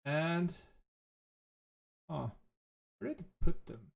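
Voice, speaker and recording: A man whose native language is German, thinking aloud in halting, self-correcting commentary as he plays a game. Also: a little high, animated, vocal fry. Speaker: let's play host. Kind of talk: casual gameplay commentary.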